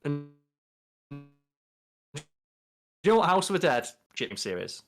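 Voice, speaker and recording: A man's voice: a little high, very animated, slow pace. A young man, a podcast co-host, chatting casually and explaining in a rambling way from a bedroom on a video call. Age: young adult. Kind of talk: casual movie chat.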